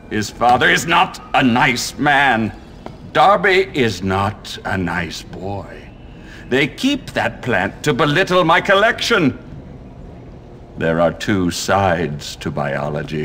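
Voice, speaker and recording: Slow, theatrical, sinister old teacher. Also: male voice, sits low, a bit bright, slight background noise, full character voice. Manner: theatrical, pompous, imperious. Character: eccentric, sinister old male biology teacher. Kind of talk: video game cutscene acting.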